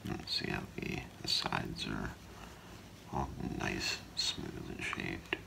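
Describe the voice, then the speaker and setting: A middle-aged man whispering softly and haltingly in an ASMR role play as a gemologist talking a client through an appraisal. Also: noisy background. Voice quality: breathy low whisper with gravelly creak. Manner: hushed, unhurried, halting, explaining gently to a client. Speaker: ASMR performer playing a gemologist. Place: small indoor room, seated before a curtain.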